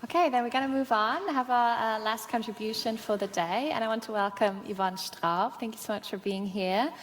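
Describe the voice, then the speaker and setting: A woman's voice: fairly high pitch, fairly quick, slight background noise. A woman moderating a conference panel, thanking speakers and introducing the next one politely. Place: large conference hall. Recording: reverberant hall with faint ambience.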